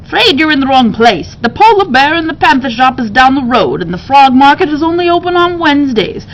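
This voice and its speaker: A woman's voice: sits high, very animated, a little fast, voice pushed up, rough recording. A woman voicing a chatty, protective male bird shop keeper from a storybook, with folksy, ungrammatical speech. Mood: businesslike.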